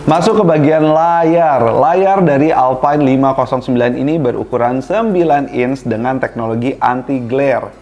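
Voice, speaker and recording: A man's voice: mid-range voice, a little mellow. A man presenting a product review casually and informally, speaking directly to viewers. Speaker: product reviewer, video host.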